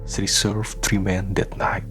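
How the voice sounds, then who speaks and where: A man narrating a scripted radio drama in a solemn, measured storytelling manner. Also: sits low, level tone, over music.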